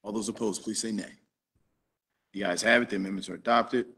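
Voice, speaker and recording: A man in his forties chairing a city council meeting over a video call, calling votes in brisk, formulaic phrases. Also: lowish voice, fairly even intonation, bright, forceful tone, breathy edge. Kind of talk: formal meeting procedure. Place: office with flags behind, on a video call.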